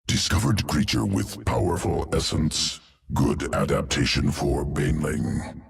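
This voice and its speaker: An actor voicing a cold, clinical alien creature scientist in a video game cinematic, who speaks in clipped, terse phrases that drop small words. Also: male voice, a little slow, distant mic, full character voice. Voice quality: deep gravelly clipped speech. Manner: drops small words, flat analytical.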